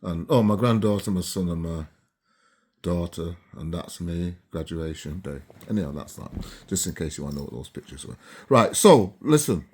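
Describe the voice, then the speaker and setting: A man's voice: sits low, lively intonation, normal speed, darkish tone, husky. A man in his seventies, originally from Barbados, talking casually to camera and often checking in with his viewers. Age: 70s. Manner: chatty, keeps checking in with listeners. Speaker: vlogger.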